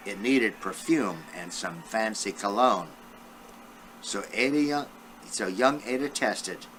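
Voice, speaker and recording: A man's voice: fairly low pitch, slow, fairly bright tone, a little hiss or hum, poor audio. An elderly man reading a children's storybook aloud, talking directly to his young listeners as a great-grandfather would. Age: 70s or older.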